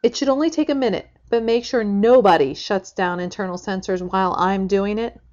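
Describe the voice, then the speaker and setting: A woman playing an eager junior starship engineer in an audio drama, brisk and deferential. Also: mid-range voice, a little mellow, highs cut off, natural voice. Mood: businesslike.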